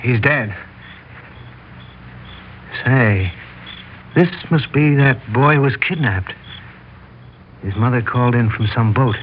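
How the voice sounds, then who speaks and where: A concerned passer-by, played by a man in an old radio play. He speaks slowly, and the recording is muffled with faint noise. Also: mid-range voice, natural voice. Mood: grave concern. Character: concerned passer-by who finds a victim. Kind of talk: 1960s radio drama acting.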